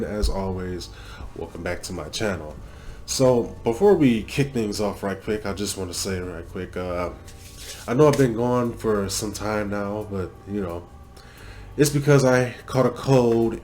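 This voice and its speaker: A man with a fairly low voice, talking to his audience in a loose, rambling way. He sounds stuffed up and congested from a cold. Faint music plays behind him. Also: a little mellow. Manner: loose and rambling, with swearing and fillers. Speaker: reaction video host. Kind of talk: casual reaction commentary.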